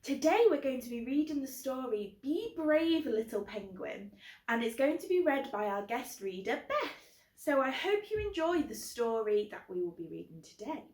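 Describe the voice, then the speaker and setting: A woman's voice: high-pitched, big pitch swings, moderate pace, a little mellow. A British woman hosting a children's book club, talking simply and directly to young viewers. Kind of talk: children's presenting. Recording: echoey room.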